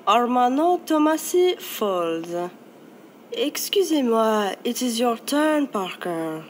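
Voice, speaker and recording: A slow French-accented card dealer. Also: female voice, a little high, very animated, a little hiss or hum. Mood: formal. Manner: slow and formal. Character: poised female card dealer with French phrases.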